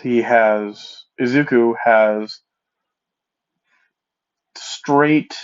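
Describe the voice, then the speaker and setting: A man's voice: medium pitch, very slow, clear, resonant tone. A man with a cold and a stuffed-up nose, telling a fan story in a rambling, casual way and often correcting himself. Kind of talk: improvised fan-fiction storytelling. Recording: dull lo-fi mic.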